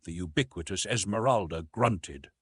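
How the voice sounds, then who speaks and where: A man's voice: deep voice, normal speed, slightly rough, dry recording. A man reading an adventure novel as a narrator, in a dramatic scripted style. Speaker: audiobook narrator.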